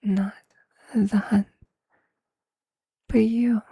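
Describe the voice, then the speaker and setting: A performer voicing a possessive, tender vampire lover in an intimate, breathy ASMR roleplay whisper, with a hushed, seductive and affectionate manner. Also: medium pitch, flat delivery, no room sound, heavily acted. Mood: adoring, seductive. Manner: slow, hushed, seductive, affectionate, formal wording.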